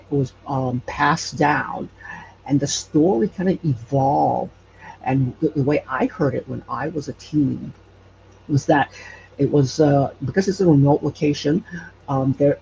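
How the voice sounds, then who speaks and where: A man with a mid-pitched, very creaky voice tells stories as a casual interview guest. He speaks haltingly and often searches for the right word. The recording is dull and lo-fi, with faint noise behind him. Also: darkish tone. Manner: halting, hesitant, searching for words. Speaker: podcast guest telling local legends.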